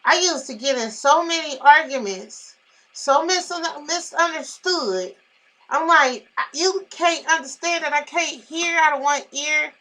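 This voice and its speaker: Loud lady vlogger venting, high bright voice, blunt and emphatic, telling her story. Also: a little slow, low-quality recording. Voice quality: naturally loud voice. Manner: blunt, emphatic, animated retelling with repetitions.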